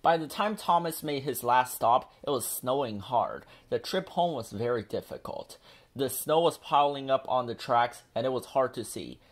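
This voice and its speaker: A man reads a children's storybook aloud as narrator. His pitch sits in a middle range, and he tells the story as scripted narration. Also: lively intonation, moderate pace.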